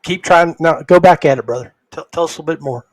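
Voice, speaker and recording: A man's voice: a little high, fast talker, no room sound, low-quality recording. A man hosting a livestream podcast over a video call, chatting informally and chummily with his guests. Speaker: podcast host. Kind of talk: casual livestream podcast chat.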